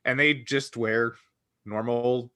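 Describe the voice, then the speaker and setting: A man's voice: mid-range voice, fairly even intonation, slow pace, pushed and bright, smooth, no room sound, clean studio sound. A man co-hosting a casual fan podcast, explaining things piece by piece in short phrases. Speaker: podcast co-host.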